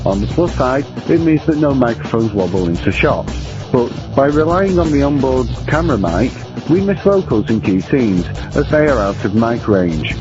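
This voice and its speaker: A man's voice: medium pitch, big pitch swings, normal speed. Wry male reviewer over prominent music. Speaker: film review segment presenter. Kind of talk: scripted review narration. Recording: dull, lo-fi radio-style sound. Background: prominent guitar music.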